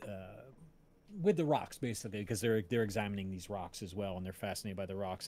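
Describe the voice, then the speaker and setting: A man's voice: fairly low pitch, darkish tone. A man hosting a casual livestream chat, rambling along and often searching for words as he tells stories. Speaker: podcast creator and stream host.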